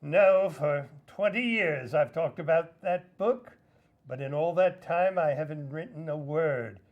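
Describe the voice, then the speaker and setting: A man acting a gruff, weary old professor in a slow, theatrical radio drama manner. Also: on the high side, dull audio. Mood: weary, resigned. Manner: theatrical, exclaiming, slow and deliberate.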